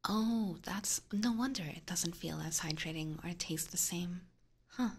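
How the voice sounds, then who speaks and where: Breathy whispering woman, slow ASMR chat. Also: on the low side. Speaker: ASMR streamer and host. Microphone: close binaural ear microphone.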